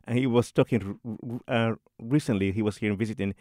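A middle-aged man with a lowish voice, talking as a composer in a radio interview. He stumbles and restarts often, repeating little words as he searches for them. The sound is dry and close. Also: fairly quick, darkish tone, dry recording. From Puerto Rico. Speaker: composer and church organist.

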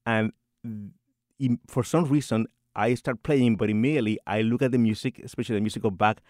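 A man's voice: mid-range voice, steady tone, brisk, darkish tone, dry recording, studio quality. A middle-aged man from Rico, a composer and church organist talking in a radio interview, hesitant and full of restarts and repeated words. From Puerto Rico.

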